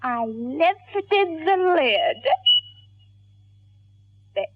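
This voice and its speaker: An actress playing a cold, scheming female gangster in a mid-century radio crime drama, speaking coyly and calculatingly with dramatic pauses. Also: sits high, sing-song, very noisy, heavily acted. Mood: shaken, disbelieving. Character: cold scheming female gangster, sly, coolly murderous narrator. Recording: tinny old radio recording.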